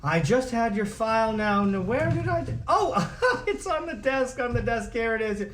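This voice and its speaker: A woman's voice: fairly high pitch, big pitch swings, moderate pace, echoey room, slight background noise. Woman doing a scatty, swooping small-town lawyer. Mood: rambling, jokey. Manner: sing-song, scat-like, rambling. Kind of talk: script table read of a comedy.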